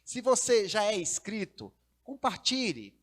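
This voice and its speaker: A man's voice: high-pitched, sing-song, takes it slowly, a bit bright. A man with a Brazilian Portuguese accent, a pastor hosting a church livestream, speaking formally with rising emphasis. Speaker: pastor and host.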